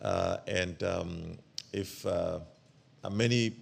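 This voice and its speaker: Halting, gravelly guest preacher, man. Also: deep voice. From Ethiopia. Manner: hesitant, halting, many fillers and restarts. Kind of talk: church sermon.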